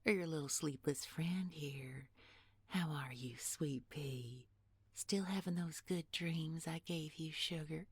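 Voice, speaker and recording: A woman's voice: low-pitched, slow pace, husky, dry recording, full character voice. A woman playing an ancient nightmare posing as a business executive in an audio horror drama, mock-sweet and menacing, with folksy, condescending pet names. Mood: mock-sweet menace. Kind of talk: audio horror roleplay acting.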